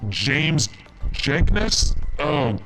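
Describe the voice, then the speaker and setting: A man voicing a dim, blustering pig-like alien guard in a tabletop game, gravelly and halting, blunt in manner. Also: a little high, very animated, pushed and bright, full character voice, rough recording. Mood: gruff, confused. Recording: music behind the voice.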